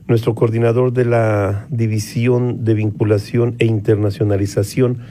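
A man's voice: mid-range voice, dark tone, dry recording. A man presenting a radio news segment, speaking directly to listeners and putting rhetorical questions to them. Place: radio studio. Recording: dry studio sound with faint music.

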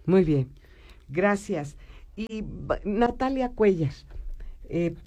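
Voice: medium pitch, lively intonation, drawn-out words, mellow. A radio host with a Mexican accent interviewing guests in the studio, chatty and full of fillers.

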